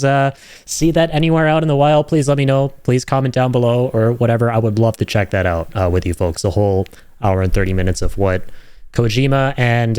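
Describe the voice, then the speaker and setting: A man's voice: fairly low pitch, a little mellow, smooth, no echo. A man giving casual, enthusiastic reaction commentary over game footage in an informal, slangy, chatty way. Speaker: host of a gaming reaction channel.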